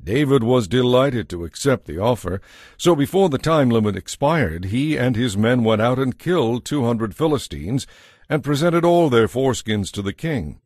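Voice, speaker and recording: A man narrating a dramatized Bible story from a script in a measured, expressive storytelling manner. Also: medium pitch, dry recording, studio quality.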